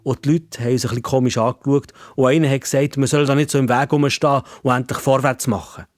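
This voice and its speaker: Male narrator for a kids' story, Swiss accent, mid-range pitch, scripted delivery in a very dry recording. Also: fairly even intonation, fast talker, no room sound.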